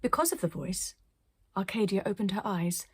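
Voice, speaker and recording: A woman reading a children's story aloud as storyteller, expressive, with dramatic pauses. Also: medium pitch, breathy edge. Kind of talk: scripted children's story narration.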